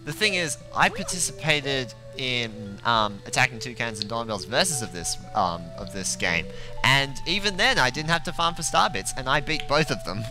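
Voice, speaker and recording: A man chatting casually as a gaming co-host in a hesitant, halting way. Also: medium pitch, expressive, over music, poor audio. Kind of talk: casual game race chat.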